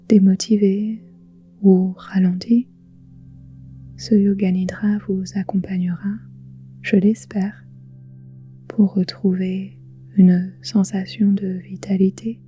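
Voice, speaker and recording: A woman guiding a relaxation meditation in a soft, breathy, whispery voice, soothing, with gentle pauses. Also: medium pitch. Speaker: yoga nidra guide. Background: soft music with singing bowls.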